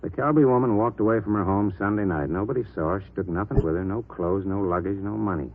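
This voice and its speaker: A man plays a skeptical police captain in a vintage radio crime play, low-pitched and authoritative. He probes in short, blunt phrases over a dull, muffled recording. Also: fast talker, a little hiss or hum, lightly acted. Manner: terse, probing, authoritative. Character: skeptical, gruff male police homicide captain. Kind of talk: 1950s radio crime drama acting.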